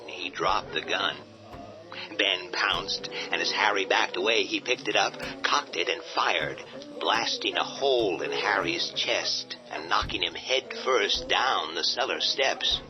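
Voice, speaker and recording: A man with a very deep voice narrates a horror tale in a steady, vivid way. Clear music plays under his narration. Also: rough recording. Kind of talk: dramatic storybook narration.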